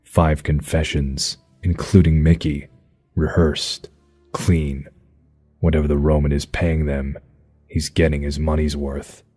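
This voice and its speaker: An actor playing a brooding, stern vigilante detective, narrating noir style in a raspy, terse, measured voice. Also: male voice, rumbling low, flat delivery, warm tone, faint music behind, heavily acted. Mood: grim, brooding. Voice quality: husky, raspy.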